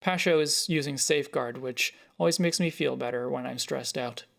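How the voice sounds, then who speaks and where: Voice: mid-range voice, normal speed, vocal fry, fairly bright tone. An author reading their own first-person short story as scripted narration.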